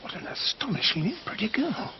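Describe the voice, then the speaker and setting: An actor playing a courteous British army officer in a period radio drama, with a genteel, expressive way of speaking. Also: male voice, sits high, brisk, gravelly, lo-fi sound, noisy background, full character voice. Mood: curious. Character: courteous, observant army officer narrating a country-house mystery.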